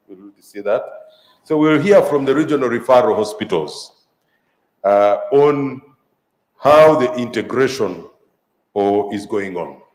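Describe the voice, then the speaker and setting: A man moderating a health conference session, speaking haltingly with many filler pauses. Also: lowish voice, a little mellow, roomy sound, recorded from a distance, a little hiss or hum, poor audio. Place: conference hall.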